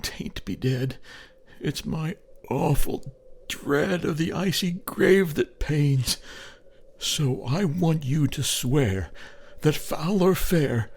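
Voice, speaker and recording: A man voicing a freezing, dying gold prospector in a poem, pleading fearfully in a strained, breathy moan. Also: on the high side, expressive, slow, darkish tone, pitched above the performer's own voice, heavily acted. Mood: moaning dread. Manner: moaning, pleading.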